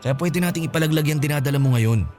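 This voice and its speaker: An actor playing an evasive, selfish young boyfriend in a Filipino radio drama, defensive and insistent. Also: male voice, a little high, fast, darkish tone, lightly acted. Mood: defensive, coaxing. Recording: music bed behind the voice.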